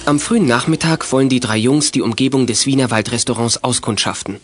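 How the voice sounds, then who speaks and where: A man's voice: mid-range voice, a little fast, faint music behind. A man giving scripted narration as the storyteller of a radio play for young listeners.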